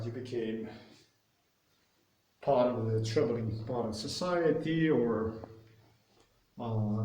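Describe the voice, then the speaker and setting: A Slovenian man with a Slovenian accent talks in English, a language he learned later. His voice is warm and mellow, and he carries an emphatic, indignant tone through a political monologue while he exercises. The room around him is roomy and echoey, with faint noise underneath. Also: mid-range voice. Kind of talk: solo vlog monologue.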